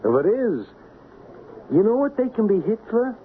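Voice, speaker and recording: An actor playing an eager, earnest police detective who thinks aloud haltingly in an old radio crime drama. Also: male voice, sits high, big pitch swings. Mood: eager, tentative. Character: eager, theorizing police colleague of a lieutenant. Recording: narrow, noisy old radio broadcast.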